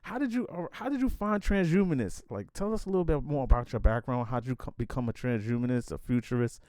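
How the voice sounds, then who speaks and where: Podcast host, male, hesitant halting questions, dark mellow voice, dry sound. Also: mid-range voice, no echo. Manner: hesitant, many fillers and restarts in questions; emphatic hype intro. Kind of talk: casual interview chat.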